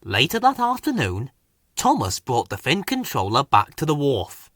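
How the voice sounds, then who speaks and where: A man's voice: medium pitch, wildly swooping pitch, moderate pace, fairly bright tone, no room sound, clean studio sound. A man reading a scripted children's story as its narrator.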